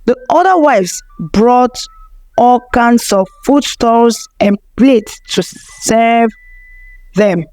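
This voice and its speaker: Slow, high-pitched woman storyteller. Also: expressive, a little mellow, soft background music. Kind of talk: scripted folktale narration.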